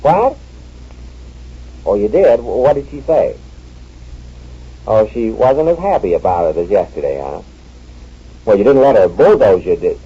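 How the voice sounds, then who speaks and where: An actor playing a sly, wry husband who tells stories and takes a phone call, in 1940s radio acting style. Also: male voice, on the low side, big pitch swings, measured pace, pauses often, slightly rough, heavily acted. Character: dry, wry scheming husband. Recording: dull lo-fi old radio recording.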